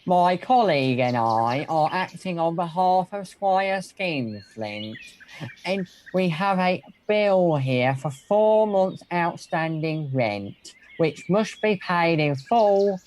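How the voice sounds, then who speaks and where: Woman playing a slow, low-pitched officious bailiff. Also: expressive, a little mellow. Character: officious but placating bailiff in pantomime. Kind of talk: pantomime radio acting.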